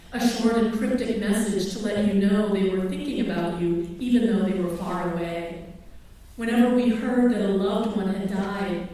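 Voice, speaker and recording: A woman's voice: mid-range voice, dark tone. Woman reading her memoir, echoey room. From Philippines. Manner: measured, reflective reading. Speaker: author. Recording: echoey room, distant from the mic.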